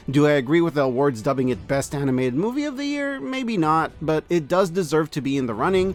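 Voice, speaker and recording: A man narrating a scripted, opinionated review in an emphatic, conversational way with deliberate dramatic stresses. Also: fairly high pitch. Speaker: host of a year-end media review.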